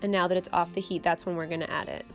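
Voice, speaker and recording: A young woman hosting her own channel, explaining a homemade recipe step by step in a relaxed, casual way from her kitchen. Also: on the low side, very fast, faint music behind. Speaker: channel host. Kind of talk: casual how-to vlog. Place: home kitchen.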